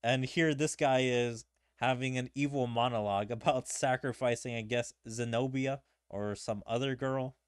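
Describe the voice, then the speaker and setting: A man's voice: medium pitch, steady tone, normal speed, clean tone, no echo. Casual male gamer, dry sound. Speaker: gaming video creator. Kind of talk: casual gameplay commentary. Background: faint game music.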